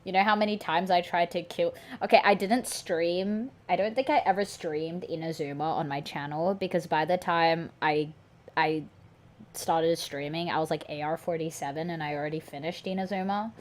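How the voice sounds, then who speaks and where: A woman's voice: lowish voice, fairly even intonation, normal speed. A woman streamer chatting playfully and cracking jokes while playing a video game. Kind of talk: gameplay commentary.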